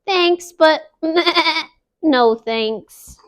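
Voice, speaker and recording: A woman's voice: high-pitched, very slow, a bit bright, voice pushed up, heavily acted. A woman voicing a giggly, carefree sheep who dithers before declining.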